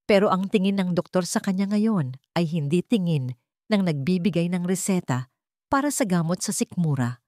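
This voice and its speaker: Filipina lady reading a dramatic story, lowish voice, real dry close sound. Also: mellow, no room sound. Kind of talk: scripted dramatic story narration.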